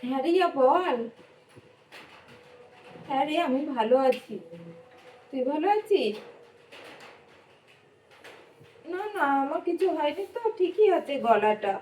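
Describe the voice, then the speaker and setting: A woman's voice: sits high, expressive, a little slow, pauses often, darkish tone. A woman playing a meek, hurt young wife in a domestic drama, confiding plaintively. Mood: covering up hurt. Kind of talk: amateur domestic drama acting. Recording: echoey room, distant mic, faint noise.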